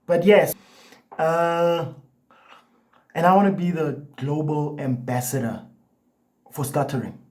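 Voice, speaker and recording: Mellow young man who stutters. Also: on the high side, steady tone, slow, long pauses. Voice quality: stutters, with repeated words and restarts. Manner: loose and chatty, full of "like" and "you know". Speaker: vlogger. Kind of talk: casual talk to camera.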